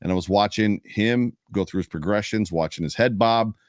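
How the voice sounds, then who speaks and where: A man hosting a sports podcast, with a wry, teasing manner and drawn-out answers. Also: on the low side, no room sound, studio quality. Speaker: podcast host. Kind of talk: casual podcast chat.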